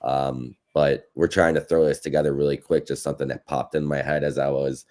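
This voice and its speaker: A man's voice: extremely low pitch, little pitch movement, fairly quick, a little mellow. A man with an American accent co-hosting a sports show, chatting casually and conversationally about college football in a rambling way.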